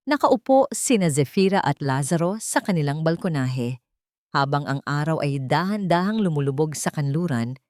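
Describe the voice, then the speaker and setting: A woman narrating a sentimental love story in measured, dramatic short phrases. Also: low voice, darkish tone, no echo, clean studio sound.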